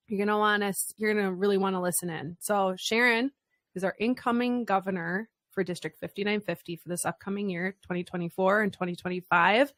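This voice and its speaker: A woman's voice: medium pitch, no echo. A woman co-hosting a studio podcast in casual chat, laughing often and restarting her sentences. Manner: giggly, chatty, trails off and restarts often. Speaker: podcast co-host.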